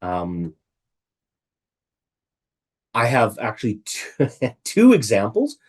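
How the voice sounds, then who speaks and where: A man with a somewhat low voice that has gone raspy from illness talks through a casual webinar presentation from his home. He is middle-aged and chatty, and he keeps checking in with rhetorical questions. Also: huge pitch swings, slow, a little mellow.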